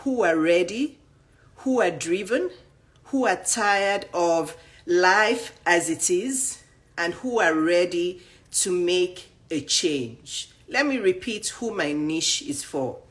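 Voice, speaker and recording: A woman in her fifties speaks as a coach, with a slightly low voice. She is blunt and straightforward, stacking up lists of questions and punching each item in turn. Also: expressive, slow. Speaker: dating and life coach. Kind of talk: direct-to-camera coaching talk.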